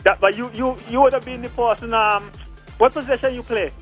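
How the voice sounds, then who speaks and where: A man's voice: extremely high pitch, fairly even intonation, a little fast, fairly bright tone, faint music behind. A man calling into a radio show, rambling and hesitant. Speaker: caller. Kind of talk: call-in radio chat.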